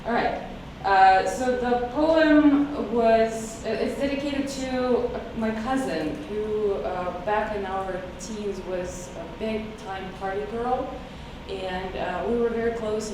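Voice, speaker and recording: A woman whose first language is Russian reads her own poem, speaking hesitantly and emotionally with many fillers. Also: medium pitch. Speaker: poet reading her work. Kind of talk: poetry reading with spoken intro. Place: echoey hall, recorded from afar. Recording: distant, echoey, noisy room recording.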